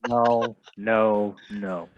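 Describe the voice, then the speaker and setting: A man's voice: lowish voice, steady tone, drawn-out words, no room sound. A man playing a tabletop role-playing game, chatting casually and bluntly. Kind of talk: casual chat. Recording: thin phone-like voice-chat audio, dry.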